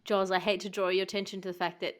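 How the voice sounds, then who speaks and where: A woman hosting a comedy podcast, trading witty, articulate banter with a wry, deadpan edge. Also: mid-range voice, fast.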